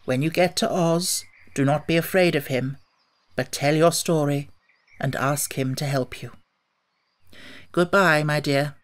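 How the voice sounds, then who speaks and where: A man's voice: a little high, unhurried, long pauses, lightly acted. Man voicing a kindly, solemn little old witch. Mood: solemn, reassuring. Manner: solemn, reassuring. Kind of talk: audiobook character voice.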